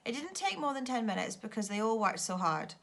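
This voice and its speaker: A woman reads a children's story aloud like a primary teacher. Her voice is bright and clear-cut in tone, with highly animated pitch that follows the narration expressively. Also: fairly quick.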